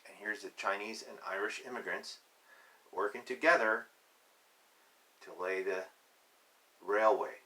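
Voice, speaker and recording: A man reads a children's book aloud in a slightly low-pitched voice with a bright, forceful edge. He has a teacherly manner, setting out key words emphatically and adding plain, simple asides to explain them. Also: measured pace, long pauses, low-quality recording. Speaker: reader of a children's picture book.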